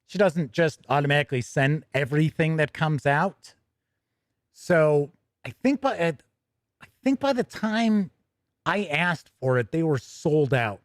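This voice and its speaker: A man's voice: a little high, animated, unhurried, no echo, clean studio sound. A man hosting a tabletop gaming livestream, talking in a chatty, conversational way with casual asides and self-corrections. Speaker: host of a tabletop gaming news show. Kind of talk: casual livestream chat and news reading.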